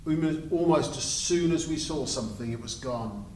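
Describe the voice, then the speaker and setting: A man giving an expressive, emphatic dramatic reading aloud, in the style of a teacher telling a story. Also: on the high side, normal speed. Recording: roomy, distant mic, faint noise.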